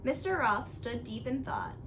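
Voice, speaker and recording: A woman reading a children's picture book aloud in an expressive storytelling style. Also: on the high side, moderate pace. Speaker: storybook reader. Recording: clearly noisy recording with background ambience.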